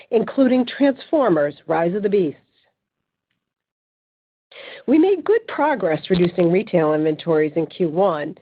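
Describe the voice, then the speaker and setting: A woman's voice: medium pitch, no room sound. Female finance chief for a scripted earnings report, formal and businesslike, dry, thin telephone conference sound. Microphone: phone conference line. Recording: tinny, narrow phone conference line.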